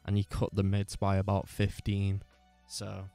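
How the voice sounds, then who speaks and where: A podcast host with a bassy, slightly gravelly voice, talking casually and rambling, repeating himself, on a dynamic microphone that he moves closer and farther. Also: completely flat delivery, a little slow, warm tone, faint music behind. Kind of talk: casual talk about microphone settings. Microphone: dynamic microphone, distance varies.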